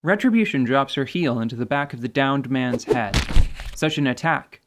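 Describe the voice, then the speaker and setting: A man's voice: medium pitch, lively intonation, moderate pace, noisy background. A man giving dramatic, scripted narration for a fantasy radio play. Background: music.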